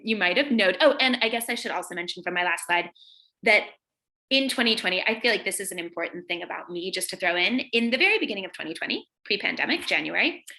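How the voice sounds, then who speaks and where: A woman's voice: medium pitch, sharp tone. A woman from North Carolina in her thirties, giving a rambling, filler-heavy talk over a video call. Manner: chatty, rambling, many fillers and self-corrections. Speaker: guest speaker, former tour guide. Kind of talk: live online presentation.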